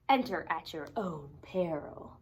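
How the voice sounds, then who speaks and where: A woman's voice: deep voice, expressive, a little slow, slightly rough, voice pushed down, full character voice. A woman voicing an earnest, determined child pet owner from a picture book, with a showy, commanding delivery. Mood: proud.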